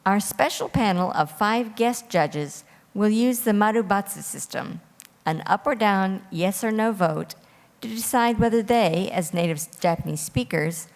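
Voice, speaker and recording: A woman moderating a formal quiz competition, giving clear, orderly instructions. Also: medium pitch, darkish tone. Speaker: quiz host reading rules and judging calls. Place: large hall at a live competition. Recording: echoey hall with long reverb.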